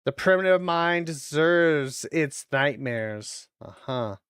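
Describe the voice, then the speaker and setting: A streamer of medium-pitched voice giving casual watch-along commentary, reading phrases aloud deliberately and adding loose muttered asides. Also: animated, a bit bright, clean tone, no room sound, studio quality. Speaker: streamer reacting to videos.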